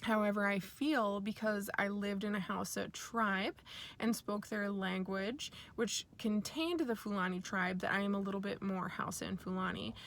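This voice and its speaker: A woman from Nigeria with American parents gives a reflective, personal spiritual talk in a deliberate way, pausing mid-phrase. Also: medium pitch, fairly even intonation, fairly bright tone. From born and raised in Nigeria to American parents. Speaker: speaker sharing personal origin story.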